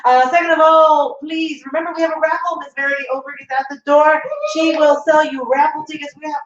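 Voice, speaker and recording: A woman's voice: high voice, little pitch movement, fairly quick. Female host, playful announcer, distant lo-fi room sound. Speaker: comedy show host. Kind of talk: stand-up hosting announcements. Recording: roomy, distant, dull sound.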